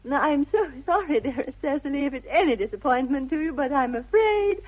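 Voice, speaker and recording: A woman's voice: high voice, lively intonation, fairly quick. An actress playing a haughty, self-assured young aristocratic lady with an upper-class English accent and affected, precise politeness, in a 1960s radio comedy of manners. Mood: icily polite. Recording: dull vintage 1960s radio recording.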